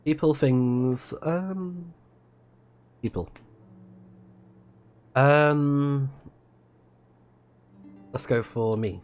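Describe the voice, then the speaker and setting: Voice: medium pitch, drawn-out words, pauses often, darkish tone. A person playing a video game on a livestream, giving casual commentary with murmured reactions and reading on-screen text aloud. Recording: game music behind the voice. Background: video game music.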